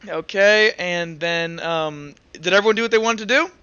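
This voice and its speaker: Bright, high-voiced male game master. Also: clean tone, dull audio. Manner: halting, informal, sweary. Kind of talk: casual improvised tabletop game narration.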